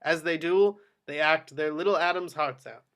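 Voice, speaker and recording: A man's voice: on the high side, moderate pace, a bit bright. A man narrating scene headings and stage directions for a script table read.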